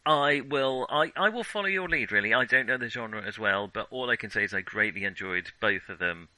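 A man's voice: mid-range voice, fairly quick, pushed and bright, dry recording, rough recording. A man co-hosting a film podcast in a chatty, wry, conversational way. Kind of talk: casual chat.

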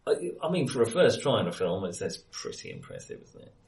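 A man's voice: deep voice, huge pitch swings, fast talker, creaky, a little mellow. A man chatting casually about films, often hesitating and correcting himself as he goes. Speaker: film podcast host. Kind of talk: casual film discussion. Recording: roomy, echoey sound.

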